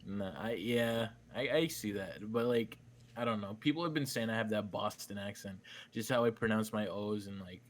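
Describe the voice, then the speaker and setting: A man's voice: fairly low pitch, normal speed. A young man from Canada, chatting on a podcast in a rambling way, swearing a lot and restarting his sentences. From Manitoba, Canada. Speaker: small YouTuber and podcast co-host. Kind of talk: casual chat.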